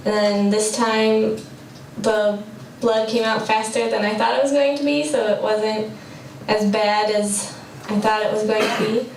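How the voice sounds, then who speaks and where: Young female student interviewee, unhurried, flat delivery, distant mic with faint noise. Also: mid-range voice, roomy sound. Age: young adult. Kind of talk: recounting an experience in an interview.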